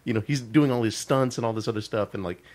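A man's voice: fairly low pitch, quick pace, a little mellow. A man co-hosting a podcast in casual chat, talking at length with many restarts. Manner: chatty, lots of fillers and restarts, laughing. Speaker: podcast co-host.

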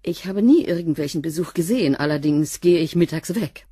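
A woman's voice: low-pitched, animated, brisk, dark tone, breathy edge, no room sound, clean studio sound. A woman playing a guarded housekeeper with a past in prison, giving curt answers in a radio play.

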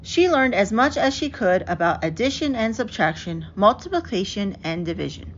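A woman reads a children's picture book aloud in an expressive, carefully enunciated storytelling manner. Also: mid-range voice, moderate pace. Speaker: storybook reader. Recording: dull lo-fi audio with clear background noise.

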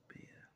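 A man in his forties rambling in a personal vlog, with hesitant restarts, repeated phrases and half-whispered asides, filmed on a phone at arm's length in a small room. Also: bright, forceful tone. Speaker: religious vlogger.

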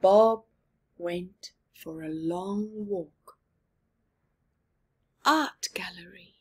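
A woman in her forties reading a picture book aloud to children at home, expressive and deliberate, with dramatic pauses and asides. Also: fairly low pitch, breathy edge. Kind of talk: expressive read-aloud with asides to young viewers. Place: small living room at home.

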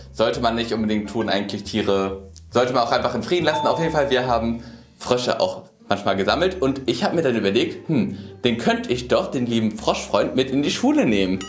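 Young man storytelling in an echoey room. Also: mid-range voice. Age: young adult. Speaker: YouTube presenter. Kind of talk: casual storytelling. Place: indoor room. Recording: roomy echo. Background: background music.

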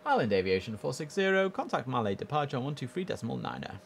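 A man's voice: medium pitch, very animated, fast, gravelly, a little hiss or hum. Young man streaming, chatty with radio calls. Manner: chatty, addresses chat, mixes in clipped radio phrasing. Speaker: streamer playing air traffic control. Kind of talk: casual gameplay commentary with radio calls. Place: home room at a desk. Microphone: headset.

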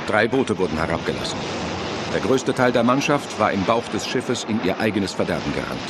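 A man's voice: on the low side, lively intonation, brisk, very noisy. A man narrating a horror radio play in a dramatic scripted style, with music and effects behind him.

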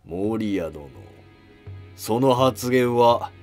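A man playing a deferential, scheming middle-aged minister of ancient Japan in a historical drama, with measured, formal archaic honorifics. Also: medium pitch, animated, darkish tone, soft background music. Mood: grave.

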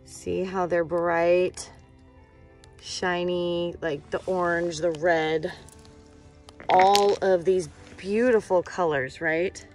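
A woman's voice: fairly low pitch, steady tone, very slow, darkish tone, soft background music. A woman in her thirties vlogging casually outdoors, enthusiastic and informal, full of exclamations, recorded on a handheld camera. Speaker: vlogger and rock-hunting host. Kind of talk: casual outdoor vlog chat. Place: outdoors on a rocky shore.

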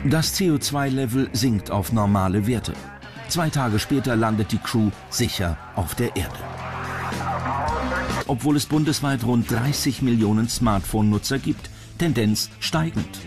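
A man's voice: medium pitch, animated, a little slow. A man reading scripted documentary narration as the narrator of a countdown show. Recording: music under the voice. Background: background music.

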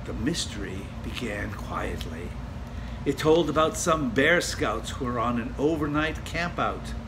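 An older man reading a children's picture book aloud in an expressive storytelling style, dropping to a hush for the spooky parts. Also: medium pitch, measured pace. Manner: gentle, expressive storytelling with hushed spooky passages. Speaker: storybook reader. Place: home room, indoors. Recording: home recording with steady hiss.

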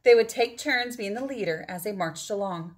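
A woman's voice: medium pitch, moderate pace. A woman in her forties reading a picture book aloud to children, drawing out words for effect and adding asides to her listeners. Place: indoors, small room at home.

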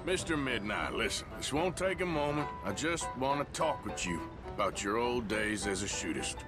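Man playing an American cowboy, swinging pitch. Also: expressive, moderate pace, music in the background, lightly acted. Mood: calm, insistent. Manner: blunt, folksy. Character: gruff, steady male cowboy gunslinger. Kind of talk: video game acting.

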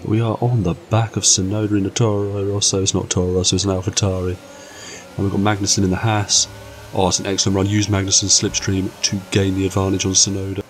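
Croaky lad calling a racing game. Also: male voice, fairly low pitch, slight background noise. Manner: excitable, jokey play-by-play. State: croaky from a cold. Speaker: gaming channel host. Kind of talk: casual gameplay commentary.

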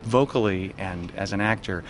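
A man's voice: deep voice, moderate pace, over music. A middle-aged man, a stage actor, speaking animatedly and with self-deprecating humor in an interview. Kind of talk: casual interview. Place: backstage in a theater. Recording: noisy backstage ambience.

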